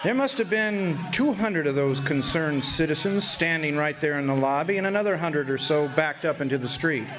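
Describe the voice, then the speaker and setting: A man's voice: a little high, expressive, normal speed, hardly any pauses, background noise, natural voice. A man playing a folksy townsman who narrates a radio drama in a colloquial storytelling style. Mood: wry recounting.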